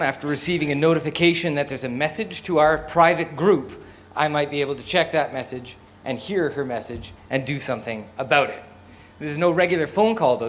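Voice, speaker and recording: A man leading a live project pitch as main presenter, speaking in a conversational way. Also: a little hiss or hum. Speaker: lead presenter.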